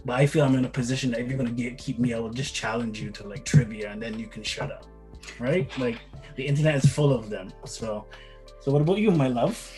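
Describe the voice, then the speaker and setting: Middle aged guy from barbados chatting as a guest, kinda rambly, repeats himself, medium pitch, faint music. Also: fast, darkish tone, low-quality recording. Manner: rambling, repeats words, says "like" and "right?" often. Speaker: interview guest, father of the other guest. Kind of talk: casual interview answers.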